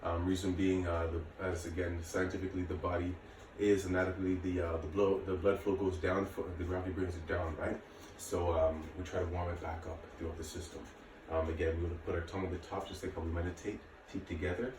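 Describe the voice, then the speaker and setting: Male fitness instructor, late 30s, very low voice, informal and reassuring, recorded at a distance from the mic. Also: little pitch movement, fast, hardly any pauses. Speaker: martial arts and fitness instructor. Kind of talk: casual workout instruction to camera. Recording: echoey room, distant mic, faint noise.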